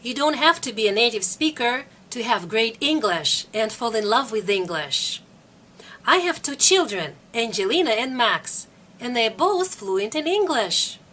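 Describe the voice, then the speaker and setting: A middle-aged woman teaches English to learners. Her voice sits a little high and rises and falls a great deal. She speaks slowly and repeats each phrase clearly. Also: pushed and bright. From Russia. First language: Russian. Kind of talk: slow, careful video lesson.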